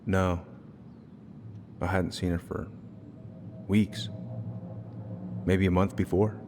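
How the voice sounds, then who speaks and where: An actor playing a gruff, protective middle-aged police chief and uncle in a video game, speaking in a measured, fatherly way. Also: deep voice, little pitch movement, noisy background. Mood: guarded, somber. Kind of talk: naturalistic video game acting. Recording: music behind the voice.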